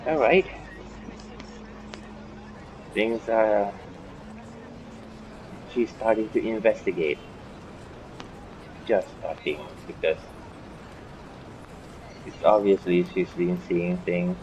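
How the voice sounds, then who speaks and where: A man with a low voice hosts a gaming playthrough in a casual chatty style. The sound is a bit dull, with faint noise behind him. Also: very slow, pauses often, darkish tone. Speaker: gaming video host.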